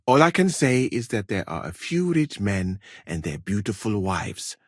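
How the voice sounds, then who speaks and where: Courteous, deep-voiced scholar-physician. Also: male voice, sing-song, no room sound, clean studio sound, exaggerated voice. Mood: guarded, polite. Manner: measured, polite, formal, articulate. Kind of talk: video game dialogue acting.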